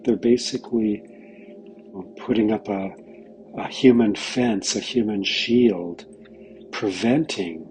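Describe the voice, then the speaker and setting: Slow, low-voiced man leading meditation. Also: a little hiss or hum, poor audio. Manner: gentle, unhurried, pausing mid-phrase. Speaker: guided meditation leader. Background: background music.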